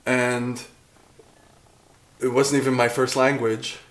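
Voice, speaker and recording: A man in his thirties talking casually to a camera at home in a dark room, chatty and rambling, often cutting himself off mid-sentence. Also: mid-range voice, flat delivery, takes it slowly, roomy sound, poor audio. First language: English. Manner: rambling, chatty, self-interrupting. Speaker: vlogger.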